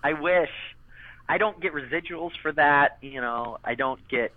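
A man's voice: on the high side, measured pace, no room sound. Man, interview guest, rambling with fillers, dry tinny phone sound. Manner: rambling, many fillers and restarts. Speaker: interview guest, former child voice actor. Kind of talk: casual interview chat.

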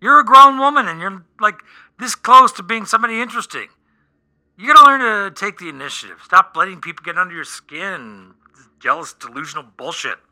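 A man's voice: sits high, lively intonation, fairly quick, sharp tone, exaggerated voice, rough recording. A man playing a blunt stoner friend in a radio play, direct and profane, supportive but exasperated. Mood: encouraging, impatient. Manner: profane, direct, pep-talking. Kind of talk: naturalistic comedic radio play acting.

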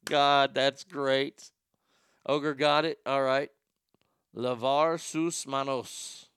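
Middle-aged male host, stutters, blunt and profane, bright, clean, dry voice. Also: mid-range voice, fairly even intonation, drawn-out words, smooth, no room sound. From Texas. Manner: rambling, blunt, profane, laughs at his own jokes. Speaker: livestream show host. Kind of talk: casual chat, reading viewer comments.

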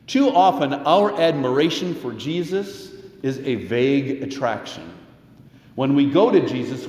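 A man preaching a sermon as a pastor, with a measured, emphatic delivery and deliberate pauses. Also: on the high side, a little mellow. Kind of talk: sermon with scripture and commentary quotes. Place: large echoing church or hall. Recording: echoey hall with long reverb.

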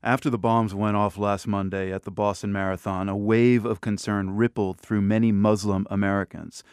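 A man hosting a radio news program, asking questions in a calm, measured way. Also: lowish voice, clear, resonant tone, no echo, studio quality.

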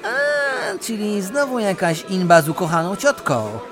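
An actor playing a teasing, sardonic drinking buddy in a comic radio play, bantering in slang. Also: male voice, high voice, sing-song, a little slow, a crowd in the background, exaggerated voice.

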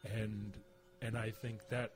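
A man's voice: lowish voice, flat delivery, takes it slowly. A man in reflective personal conversation, searching for words and repeating them for emphasis. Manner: searching, emphatic phrasing with repetition.

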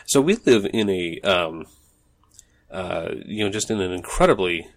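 Male podcast host, very low voice with gravel; rambling and chatty, full of fillers. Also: animated, measured pace. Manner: rambling, many fillers and restarts. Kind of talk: casual solo podcast monologue. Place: home office.

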